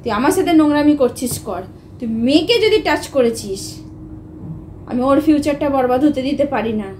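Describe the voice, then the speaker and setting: Young wife in a domestic row: high-pitched, agitated, scolding delivery, recorded in a reverberant room. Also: female voice, animated, fairly quick, darkish tone. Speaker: wife in a family prank video. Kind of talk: heated domestic argument. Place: indoor room at home. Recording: echoey room, faint background noise.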